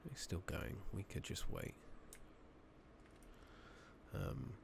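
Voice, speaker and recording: A man giving hushed, casual, rambling ASMR commentary while playing a video game, speaking softly into a close microphone. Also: lowish voice, wildly swooping pitch, drawn-out words, gravelly. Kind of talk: soft-spoken ASMR gameplay commentary. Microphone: close USB condenser microphone. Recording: noticeable background noise, clicking.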